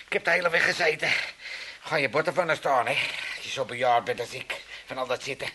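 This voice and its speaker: A man's voice: medium pitch, fast, background noise, natural voice. A man playing a cheeky, self-pitying elderly father with an Amsterdam accent in a comedy radio play, rambling and stammering in a folksy, blustering way. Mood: sentimental, rambling. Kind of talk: 1980s Dutch comedy radio play acting.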